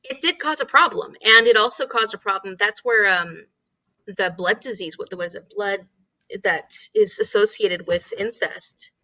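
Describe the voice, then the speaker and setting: A woman co-hosting a casual book discussion, explaining things in an animated way and repeating herself for emphasis. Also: medium pitch, moderate pace, rough recording.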